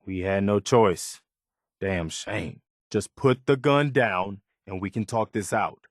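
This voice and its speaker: An actor playing an earnest, dutiful militia soldier in a post-apocalyptic video game, speaking in a plain, folksy way. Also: male voice, fairly low pitch, slow, no room sound, clean studio sound. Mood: earnest, wary. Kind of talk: video game companion voice lines.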